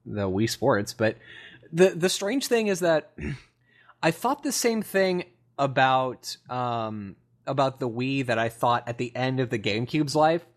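Male co-host, medium pitch, relaxed podcast chat with hesitant phrasing. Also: measured pace. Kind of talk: casual chat.

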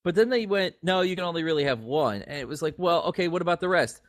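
A man's voice: fairly high pitch, lively intonation, fast. Man chatting casually on a gaming podcast. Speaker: podcast co-host. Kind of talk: casual video game discussion.

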